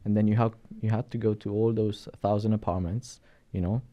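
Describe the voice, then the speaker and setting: A man with a Romanian accent speaks in a low, mellow voice. He talks loosely and conversationally, restarting phrases and checking in with "right?" as he tells his story as a podcast guest. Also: fairly even intonation, brisk, dull audio. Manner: chatty, storytelling, frequent restarts and "right?" tags. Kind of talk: casual interview chat.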